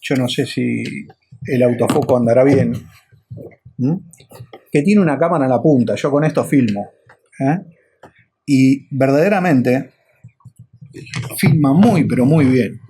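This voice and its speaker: A man's voice: medium pitch, unhurried, long pauses, mellow, a little hiss or hum. A man with a Rioplatense Argentine accent, talking casually and rambling a little as he explains things as a motorcycle vlogger.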